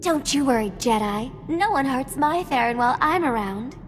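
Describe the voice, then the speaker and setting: A woman's voice: high voice, expressive, moderate pace. An actress playing a devoted, sweet and flirty female companion in a video game, with a cutesy, coaxing manner. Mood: protective. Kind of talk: video game cutscene acting. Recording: long reverb, music underneath.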